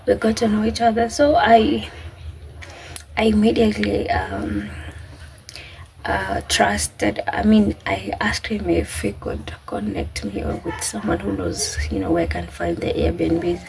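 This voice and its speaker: A woman with a Kenyan accent talks casually to her viewers. Her voice has a noticeable creak and sits at an ordinary pitch. She recounts things in a halting, chatty way, over faint background noise. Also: big pitch swings, a little mellow, rough recording. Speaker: vlogger. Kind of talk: casual personal storytelling to camera.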